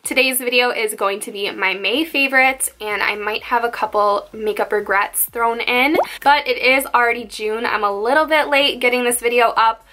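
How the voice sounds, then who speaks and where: A young woman vlogging about her makeup favorites in a chatty, candid way, a little croaky from being sick. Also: a little high, moderate pace, few pauses, background noise. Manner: chatty and candid, rambling asides. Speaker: beauty vlogger. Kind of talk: casual makeup favorites chat to camera.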